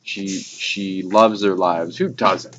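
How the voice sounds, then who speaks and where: A man's voice: deep voice, fairly even intonation, slow, fairly bright tone. A man co-hosting a casual spiritual chat, speaking in a meandering, informal and enthusiastic way. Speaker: talk show co-host. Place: informal recording by a fire. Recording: muffled lo-fi, clear background noise.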